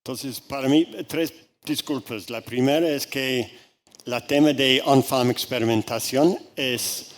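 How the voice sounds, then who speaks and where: Slow-talking male conference speaker. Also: mid-range voice. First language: English. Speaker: guest speaker, agriculture researcher. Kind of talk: conference presentation.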